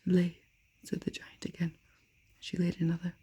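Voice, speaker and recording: A woman softly whispering a fairy tale aloud, as in an ASMR reading. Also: lowish voice, completely flat delivery, normal speed. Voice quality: breathy. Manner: soft unvoiced whisper. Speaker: storyteller.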